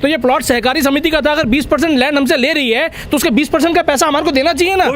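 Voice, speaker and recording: A man's voice: extremely high pitch, quick pace, a bit bright. A man at a protest, arguing his case point by point. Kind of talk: street interview. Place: outdoors among a crowd. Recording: outdoor crowd noise. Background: crowd voices.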